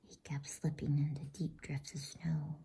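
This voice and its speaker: A woman reading a bedtime story in a soft, unhurried ASMR whisper. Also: low-pitched, little pitch movement. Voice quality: breathy, unvoiced whisper. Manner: soft, slow, unhurried, soothing delivery.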